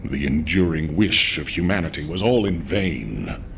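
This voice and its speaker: An actor playing a grave, burdened military commander in a video game, speaking in a measured, solemn, authoritative way. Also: male voice, rumbling low, lively intonation, gravelly, a bit bright, a little hiss or hum, heavily acted. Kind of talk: scripted video game dialogue.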